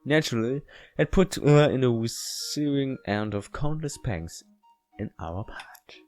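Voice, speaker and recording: A man with a non-native English accent reads a visual novel aloud as a let's play host, haltingly, with casual asides. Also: medium pitch, expressive, a little mellow, faint music behind. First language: not English. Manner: halting, stumbling reading.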